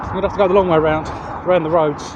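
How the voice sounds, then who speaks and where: A man's voice: a little high, steady tone, racing. A man with a southeast English accent chatting casually in a rambling, hesitant way as he hosts a vlog on foot. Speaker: vlog host. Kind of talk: casual chat to camera while walking. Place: outdoors walking along roads. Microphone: handheld external microphone. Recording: muffled outdoor street audio, loud traffic noise.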